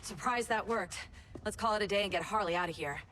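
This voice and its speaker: A woman playing a tough, brisk, defiant superheroine in a video game. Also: medium pitch, background noise, natural voice. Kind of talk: video game cutscene acting. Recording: loud music behind the voice.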